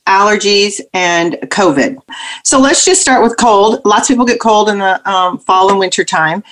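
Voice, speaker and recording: A woman physician explaining health topics on a radio talk show in a practical, even manner. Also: mid-range voice. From Pacific Northwest, US. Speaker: physician guest.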